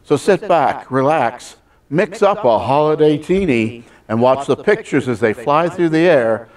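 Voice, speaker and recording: A man's voice: on the high side, lively intonation, unhurried, a little mellow. A man hosting a church Christmas program, introducing it in a folksy, theatrical announcer's style.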